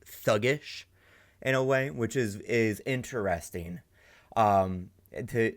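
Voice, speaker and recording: A young man giving casual reaction commentary with a slightly low voice. He rambles and circles back as he thinks aloud, piling up fillers. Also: a bit bright. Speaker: reaction channel host.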